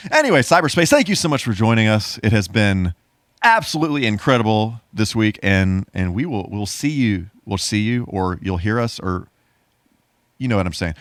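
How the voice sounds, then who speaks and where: A man's voice: on the low side, big pitch swings, normal speed, no echo. A man hosting a podcast in a casual, playful style with a goofy bit of showmanship. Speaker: podcast host.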